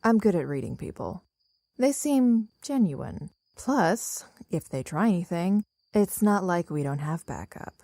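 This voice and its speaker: An actress playing a calm, guarded young woman survivor in an audio drama, speaking in a cool, assertive way. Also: lowish voice, measured pace, dry recording, clean studio sound. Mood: calm, assured.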